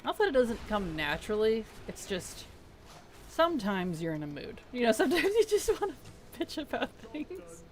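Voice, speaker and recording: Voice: medium pitch, sing-song, normal speed, a little hiss or hum. Streamer with a weak, worn-out voice. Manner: soft-spoken, reads chat aloud and mutters to self. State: weak, strained voice. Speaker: game streamer. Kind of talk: casual live-stream chat while playing.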